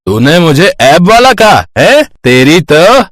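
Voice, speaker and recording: A man's voice: on the high side, big pitch swings, slow, fairly bright tone, dry recording, clean studio sound, full character voice. A man playing an abusive, leering drunkard husband in a storybook tale, crude and aggressive with a drawl. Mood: drunken anger.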